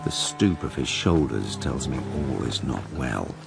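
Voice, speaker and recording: A man playing a sardonic writer narrating his plague journal in a radio drama, dry, musing and gravelly. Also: lively intonation, measured pace, over loud music, full character voice. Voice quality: deep, gravelly.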